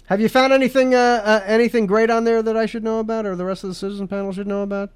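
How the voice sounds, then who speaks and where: A man's voice: sits high, fairly even intonation, racing, clean tone. A man hosting a podcast, chatting casually in a rambling, joking way with lots of restarts. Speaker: podcast host. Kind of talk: casual comedic chat.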